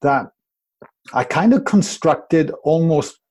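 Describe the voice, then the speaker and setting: A man's voice: a little high, expressive, slow, a little mellow, husky. Reflective Welsh man, rambling. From Wales. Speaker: guest. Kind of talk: podcast interview. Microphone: video call.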